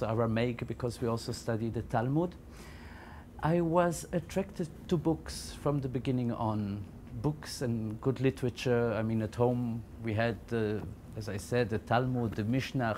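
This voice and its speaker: A middle-aged man with a warm, mellow voice recounts his own story. He talks reflectively and haltingly, often doubling back on words. A faint low rumble hums beneath his voice. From Belgium. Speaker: interviewee, founder of a Yiddish library. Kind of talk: personal testimony to camera.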